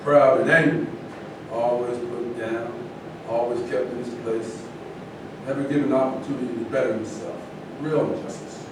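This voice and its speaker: A man's voice: steady tone, normal speed, close to an everyday voice. A man playing a blunt, aggrieved man in a staged reading of a play. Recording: very echoey hall, distant mic, rumbling noise.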